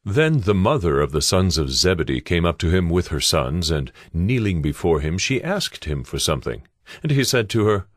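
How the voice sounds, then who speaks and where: A man's voice: low voice, big pitch swings, normal speed, no echo, studio quality. A man playing the solemn narrator of a Bible audio drama, giving a scripted, storytelling read. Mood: solemn narrating.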